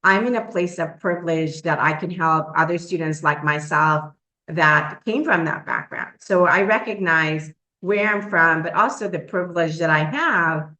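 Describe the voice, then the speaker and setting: Low-voiced woman from Trinidad, lots of ums. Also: moderate pace, pushed and bright, echoey room, rough recording. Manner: frequent ums and uhs, earnest. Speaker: professor giving a talk. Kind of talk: webinar presentation.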